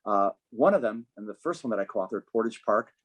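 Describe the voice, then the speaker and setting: A man running for office, answering interview questions in an earnest, measured way with frequent ums. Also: fairly low pitch, animated. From United States, Chicago. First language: Polish. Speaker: political candidate, guest.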